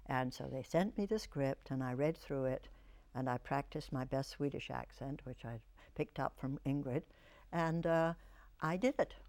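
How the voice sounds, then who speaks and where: An older woman, an actress, reminiscing in an interview, telling stories in a reflective, halting way. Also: a bass voice, darkish tone.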